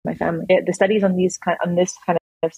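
A low, husky woman talks at a brisk clip, restarting her sentences as she goes. The recording is dry and a little muffled. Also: dry recording. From grew up in England, Australia and the UAE. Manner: quick, animated storytelling with restarts. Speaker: guest, design manager. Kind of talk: conversational interview.

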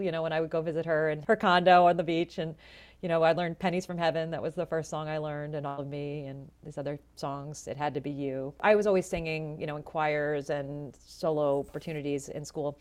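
A woman in her forties with a low voice, telling her story in a chatty, animated way as an interview guest. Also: fairly quick. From Florida, USA. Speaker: jazz vocalist and educator, guest.

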